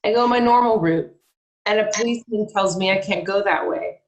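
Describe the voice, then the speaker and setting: In a roomy, echoing space, a woman acts out an alarmed local woman giving testimony for a documentary play. Her pitch is average and her telling is conversational. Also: fairly quick, gravelly, close to an everyday voice. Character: alarmed local woman recalling a frightening morning. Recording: echoey room over video call.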